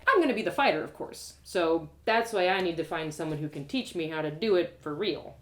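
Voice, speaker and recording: A woman's voice: fairly low pitch, lively intonation, fairly quick, natural voice. A woman voicing an eager, plucky young adventurer girl, chatty and earnest, in a told fantasy story.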